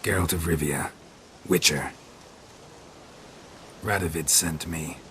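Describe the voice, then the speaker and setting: A man playing a gruff, weary monster hunter in a fantasy game, speaking in a terse, deadpan way. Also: rumbling low, slow pace, full character voice. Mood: terse, dry. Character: gruff, dry, weary male monster-hunting witcher for hire. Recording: game audio with clear ambient noise.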